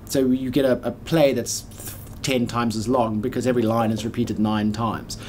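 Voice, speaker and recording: A man's voice: medium pitch, little pitch movement, moderate pace, darkish tone. Man explaining plainly, faint room noise. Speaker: radio play producer explaining the process. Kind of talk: casual explanatory interview. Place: small office or studio room by a window, indoors.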